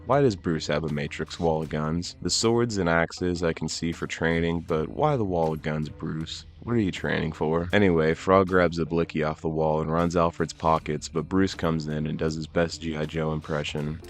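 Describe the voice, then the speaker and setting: A man's voice: very deep voice, fairly even intonation, normal speed, few pauses, faint music behind. A young adult man giving a wry, joking recap of a cartoon episode, with slang and swearing. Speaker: YouTube commentator. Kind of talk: casual episode commentary.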